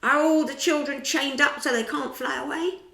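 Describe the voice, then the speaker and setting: A woman's voice: high-pitched, steady tone, normal speed, creaky, pushed and bright, pitched above the performer's own voice, heavily acted. A woman voicing a fierce male pirate captain who barks commands in an echoey room. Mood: barking. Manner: barking, commanding.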